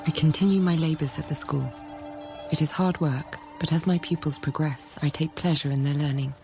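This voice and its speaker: An actress playing a frank, self-possessed young schoolteacher in a period radio drama, narrating quietly and thoughtfully. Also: female voice, sits low, steady tone, normal speed, music in the background. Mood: reflective. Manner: quiet, composed, literate storytelling.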